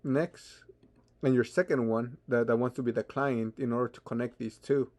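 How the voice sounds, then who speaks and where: A man giving an unscripted software tutorial in a halting way, often stammering and repeating his words as he goes. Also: medium pitch. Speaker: tutorial presenter.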